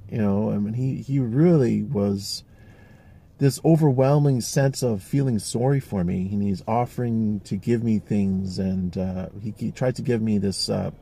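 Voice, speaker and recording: Gravelly-voiced man in his forties musing. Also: on the low side, warm tone. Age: 40s. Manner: rambling, thoughtful, frequent fillers and restarts. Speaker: vlogger. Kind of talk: casual reflective monologue.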